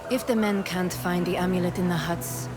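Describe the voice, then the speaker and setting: A woman's voice: on the low side, fairly even intonation, a little fast, over music, natural voice. An actress playing a cold, ruthless woman commander giving orders in a video game. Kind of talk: video game cutscene acting.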